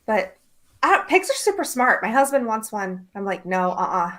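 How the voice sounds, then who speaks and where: Blunt, sweary female podcaster, fairly high and very lively, casual banter. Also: normal speed.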